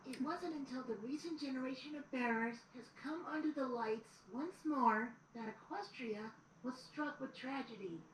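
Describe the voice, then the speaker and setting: A woman's voice: sits high, normal speed, hardly any pauses, close to an everyday voice. A woman playing a young boy dragon assistant who reads history aloud in an audio drama. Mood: earnest storytelling. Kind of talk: audio drama storybook narration. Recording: roomy, distant, noisy replayed audio.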